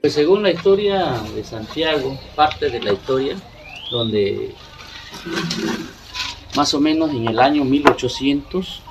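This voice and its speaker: A man telling a local story in a halting way, heard in a dull field recording from a distance. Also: mid-range voice, animated, background noise.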